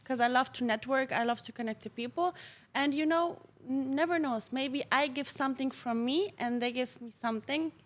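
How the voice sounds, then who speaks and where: A woman from Poland, a native Polish speaker, chatting as a lively, talkative podcast guest who laughs often. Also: high-pitched, normal speed. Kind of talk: casual interview chat.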